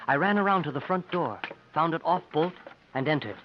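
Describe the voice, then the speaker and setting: Earnest young male storyteller, played by an actor, higher-pitched, radio drama, dull vintage recording. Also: expressive, brisk, slight background noise, lightly acted. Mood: tense. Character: earnest young male companion narrating a frontier adventure tale. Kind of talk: 1950s radio acting, first-person storytelling.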